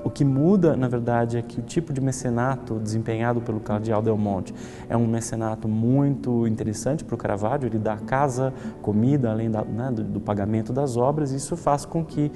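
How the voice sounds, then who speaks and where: A man's voice: medium pitch, normal speed, long reverb tail, music in the background. A man explaining a subject in an interview as an expert.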